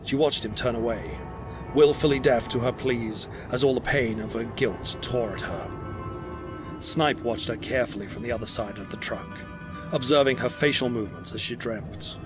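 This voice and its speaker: A man's voice: medium pitch, moderate pace, over music. A man giving dramatic scripted narration for an audio drama.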